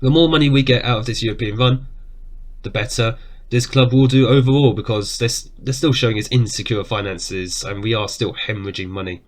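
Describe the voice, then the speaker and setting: A man with a cough talks his way through a football management game from his desk. His voice sits at a middle pitch, and his commentary is casual and chatty, with quick reactions to saves and shots. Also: fairly even intonation. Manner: chatty, reacts aloud to match events. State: has a cough. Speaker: gaming YouTuber.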